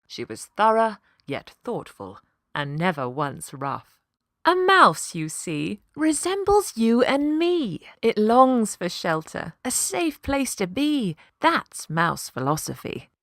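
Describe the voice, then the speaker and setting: Lady reading a kids rhyming story, slow and really expressive, dry clean sound, rhythmic. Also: medium pitch, no echo. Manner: rhythmic rhyming delivery, deliberate. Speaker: voice actor, storyteller. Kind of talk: expressive scripted children's story narration.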